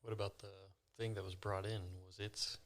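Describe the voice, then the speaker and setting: A man's voice: on the low side, little pitch movement, normal speed. A man playing a tabletop role-playing game, chatting in a hesitant, easygoing way. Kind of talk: casual in-character role-play chat.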